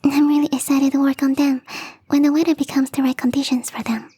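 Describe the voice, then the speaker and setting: A woman playing a shy, playful young catgirl gardener in an intimate ASMR roleplay, speaking softly and hesitantly in a breathy half-whisper. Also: sits high, flat delivery, quick pace, natural voice. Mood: shy, hopeful. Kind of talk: ASMR roleplay, intimate one-sided dialogue.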